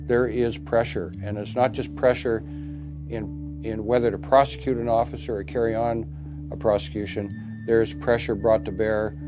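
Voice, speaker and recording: A man giving emphatic, questioning commentary in a documentary interview. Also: mid-range voice. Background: music bed.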